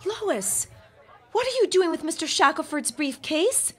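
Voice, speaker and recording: A woman's voice: extremely high pitch, sing-song, measured pace, fairly bright tone, full character voice. An actress playing a proper, indignant young bride-to-be in a 1916 period audio drama, haughty and formal in her speech.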